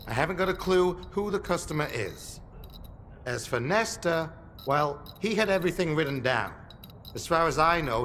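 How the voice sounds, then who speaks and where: An actor playing a world-weary, blunt workshop technician in a video game, talking informally. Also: male voice, fairly high pitch, fairly bright tone, a little hiss or hum. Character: gruff, world-weary male workshop technician, small cog. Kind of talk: video game cutscene dialogue.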